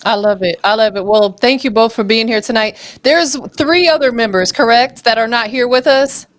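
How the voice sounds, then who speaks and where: An upbeat woman with a somewhat high voice hosts a live interview. She is from Tampa and keeps a hyped, friendly patter going. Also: moderate pace. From Tampa, USA. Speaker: interview host.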